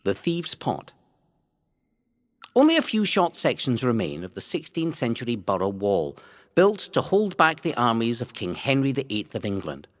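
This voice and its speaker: A man reading scripted historical narration in a measured, dramatic storytelling way. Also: medium pitch, huge pitch swings, no room sound, studio quality. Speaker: narrator of a local history audio guide. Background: music.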